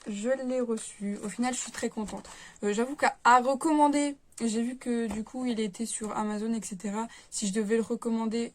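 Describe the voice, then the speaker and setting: A young woman chatting casually to her camera at home, reviewing a beauty kit and rambling with lots of fillers. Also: on the high side. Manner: rambling, lots of filler words and self-corrections. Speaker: beauty vlogger.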